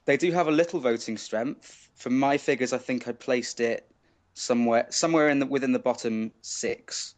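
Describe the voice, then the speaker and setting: A man of middle pitch who speaks as an animated panelist. He is emphatic, doubling up words for stress as he gives his verdict on each entry. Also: normal speed. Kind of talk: opinionated music commentary.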